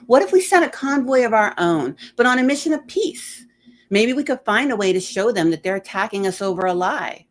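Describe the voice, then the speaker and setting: A woman's voice: fairly high pitch, very animated, fairly quick, voice pushed up. A woman voicing an earnest angel mother, a hopeful and persuasive peacemaker in a fantasy story.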